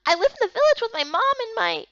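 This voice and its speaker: Woman as wailing, high-pitched little boy. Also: wildly swooping pitch, quick pace, no room sound, dull audio, full character voice. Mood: tearful, curious. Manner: wailing, childish. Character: whiny tearful little boy, defiant and blunt.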